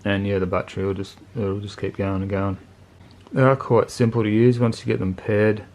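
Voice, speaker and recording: A man's voice: fairly low pitch, little pitch movement, moderate pace, darkish tone. A man giving a casual, unscripted product review in a laid-back, colloquial, plain-spoken way. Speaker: motorcycle video channel host reviewing gear.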